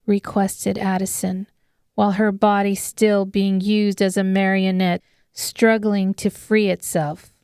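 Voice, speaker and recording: A woman narrates a dramatic audiobook story. Her voice is smooth and clean, her reading slow and measured, and the sound is very dry and close. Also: medium pitch, level tone, a little mellow, no echo.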